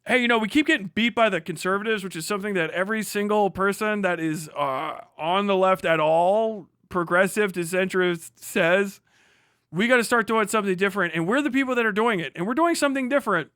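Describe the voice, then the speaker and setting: A man's voice: high-pitched, brisk, a bit bright, clean tone, no room sound, studio quality. A man hosting a livestream, reading aloud and riffing casually in a sarcastic, mocking way with plenty of swearing. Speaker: livestream host reacting to an article.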